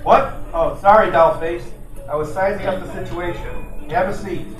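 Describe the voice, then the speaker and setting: A man's voice: high-pitched, steady tone, moderate pace, natural voice. Guy doing a wisecracking noir private eye, old radio show style, kinda far from the mic in an echoey room. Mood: flirtatious. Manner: wry, slangy tough-guy talk. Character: wisecracking, flirtatious hard-boiled male private detective. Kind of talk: old-time radio detective drama, noir narration and dialogue. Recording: roomy, distant, music behind.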